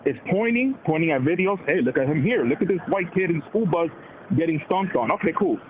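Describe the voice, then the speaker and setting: A man of Dominican background riffs on politics in loose, slangy talk and keeps slipping into quoted voices. His voice sits a little high for a man. It comes through a narrow, tinny phone-like line with steady noise behind it. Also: a little fast. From Dominican heritage. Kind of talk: casual political chat.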